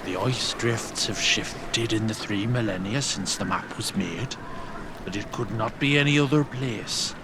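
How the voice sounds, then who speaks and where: A man playing a gruff, dryly sardonic undead warrior general with a gravelly voice and old-fashioned diction in a fantasy audio drama. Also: on the low side, measured pace, background noise. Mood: grim, wary.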